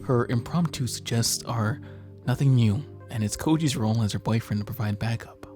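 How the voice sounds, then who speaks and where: A man's voice: medium pitch, normal speed, a little mellow, soft background music. A young man reading game text aloud in a casual let's play, joking and theatrical. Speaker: gaming video co-host.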